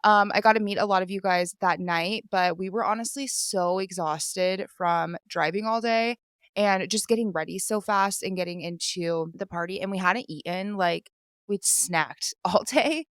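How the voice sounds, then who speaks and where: A woman's voice: medium pitch, moderate pace, clean tone, no echo, clean studio sound. A woman from Washington state hosting her own podcast, chatting casually and rambling with lots of filler words from a hotel room. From Vancouver, Washington, USA. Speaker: podcast host. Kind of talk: casual solo podcast recap.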